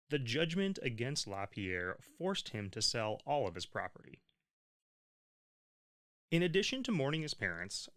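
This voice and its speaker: Male narrator for a historical audio tour. Scripted storytelling, measured delivery, very animated intonation. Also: medium pitch.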